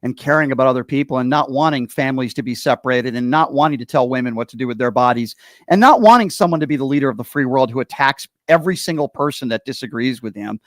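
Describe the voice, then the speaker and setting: A man's voice: medium pitch, fast, dry recording, studio quality. A man from Connecticut hosting an interview, effusive and affirming toward his guest. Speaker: podcast host. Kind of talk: interview conversation.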